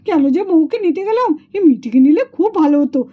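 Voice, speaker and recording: A woman's voice: very high-pitched, lively intonation, quick pace. A woman voicing a fretful, chatty young schoolchild doll in a children's puppet cartoon. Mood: worried. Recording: dull lo-fi sound.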